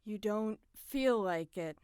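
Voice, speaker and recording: A fed-up hospital carer, smooth clear highish voice, firm and pointed, dry studio sound. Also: female voice, animated, slow, darkish tone, no echo. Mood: dry, skeptical. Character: stern, exasperated female hospital caretaker scolding a difficult patient. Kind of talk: audio drama acting.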